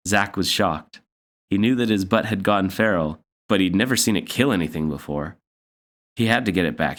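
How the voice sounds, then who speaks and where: A man with a low-pitched voice reads a children's story aloud. He delivers it as scripted storybook narration. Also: fairly bright tone. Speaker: story reader.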